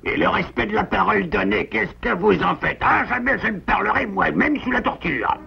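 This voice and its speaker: Gravelly, pompous cartoon animal rogue. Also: male voice, high voice, lively intonation, normal speed, lo-fi sound, exaggerated voice. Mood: indignant. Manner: pompous, courteous, theatrical. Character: dignified, loyal rogue animal friend, proud and formal. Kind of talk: cartoon dubbing in French.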